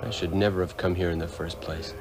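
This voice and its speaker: An actor playing an arrogant, bitter surgeon in an old radio drama, haughty and dismissive. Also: male voice, deep voice, steady tone, fairly quick, over music, close to an everyday voice. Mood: scornful. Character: arrogant, bitter male surgeon, cold and scornful. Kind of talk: 1960s radio drama acting.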